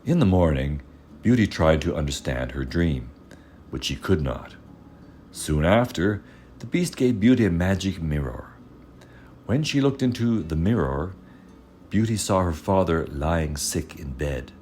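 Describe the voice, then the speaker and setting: A man reading a fairy tale aloud as storyteller, measured and expressive in his scripted narration. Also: sits low, a little hiss or hum.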